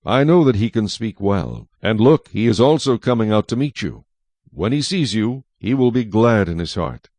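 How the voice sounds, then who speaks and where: An actor playing a solemn, commanding God in a dramatized scripture reading, with measured, authoritative delivery. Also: male voice, fairly low pitch, sing-song, darkish tone, dry recording. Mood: stern. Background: faint music.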